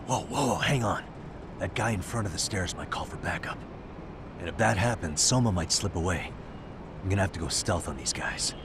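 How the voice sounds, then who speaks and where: Low-voiced, cool-headed detective. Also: male voice, lively intonation, brisk, noisy background. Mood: focused, casual. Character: cool-headed male private detective, adult, wry and tactical. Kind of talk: English video game dub acting.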